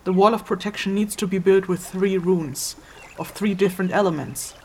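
A woman playing an assured, stern ritual caster in a fantasy audio drama, measured and teacherly. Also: medium pitch, steady tone, a little mellow, a little hiss or hum, natural voice. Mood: instructive, calm.

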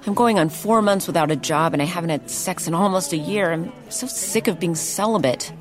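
Glum, low-voiced earnest activist played by an actress. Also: female voice, brisk, over music, lightly acted. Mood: glum, complaining. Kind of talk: audio drama acting.

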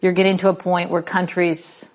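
A woman's voice: lowish voice, level tone, moderate pace. Playful woman host, laughing often. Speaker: interview host. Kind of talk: casual conversational interview.

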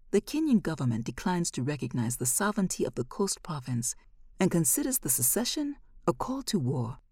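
A woman's voice: sits low, warm tone, husky, no echo, studio quality. A woman reading a first-person short story as scripted narration.

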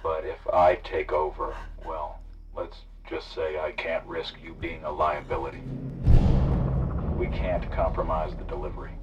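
An actor playing a calm, sardonic male hijacker who threatens and persuades by turns, sounding muffled and distant. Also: extremely low pitch, measured pace, very noisy. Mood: menacing. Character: smooth male eco-activist hijacker, menacing. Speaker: radio drama character.

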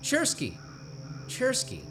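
An actor playing a smug, breezy former high school bully in a horror radio drama, with an evasive streak. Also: male voice, huge pitch swings, slow, pushed and bright, slight background noise, exaggerated voice. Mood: surprised, breezy.

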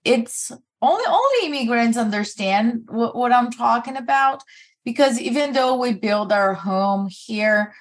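A woman co-hosting a podcast who asks questions haltingly, often repeating words as she goes. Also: on the high side, animated. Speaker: podcast co-host. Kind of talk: podcast interviewing.

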